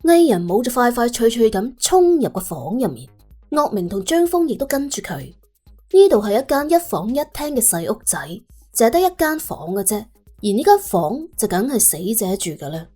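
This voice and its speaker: A woman's voice: on the high side, big pitch swings, moderate pace, warm tone, faint music behind. A woman with a Cantonese accent narrating a story in a colloquial, dramatic storytelling manner. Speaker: audiobook narrator.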